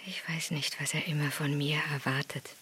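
An actress playing a fragile, reserved, anxious middle-aged wife in a radio drama, hesitant and strained, with a breathy half-whisper. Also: female voice, sits low, level tone, moderate pace, fairly bright tone, slight background noise. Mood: guarded, hurt.

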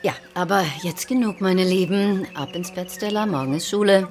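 A woman's voice: lowish voice, moderate pace, faint music behind, lightly acted. An actress playing a strict, sceptical mother, dry and weary, in a children's radio play. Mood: firm.

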